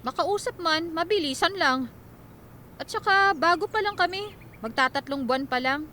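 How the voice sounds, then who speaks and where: A woman's voice: very high voice, expressive, normal speed, pushed and bright, slight background noise, full character voice. A woman playing an evasive nineteen-year-old daughter in a Filipino radio drama, speaking in an offhand, mildly defensive way.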